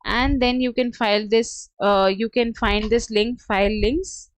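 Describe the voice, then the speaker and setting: High-voiced woman giving a halting software tutorial. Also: fairly even intonation. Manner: hesitant, halting delivery. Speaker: software trainer. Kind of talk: step-by-step screen tutorial. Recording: dull lo-fi close dry audio.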